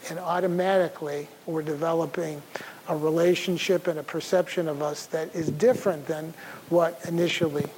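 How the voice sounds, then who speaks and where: An older man with a soft voice giving a conversational conference talk, often clearing his throat and using fillers. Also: fairly high pitch, fairly even intonation, moderate pace, a little hiss or hum, rough recording. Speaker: speaker.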